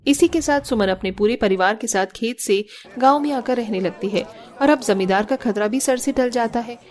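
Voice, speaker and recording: A woman's voice: a little high, normal speed, a little mellow. A woman reading scripted narration for a cartoon story.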